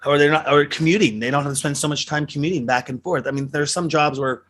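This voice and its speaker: A man's voice: on the high side, fast talker, fairly bright tone. A man hosting an interview, talking casually with lots of fillers. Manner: rambling, many fillers. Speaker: interview host.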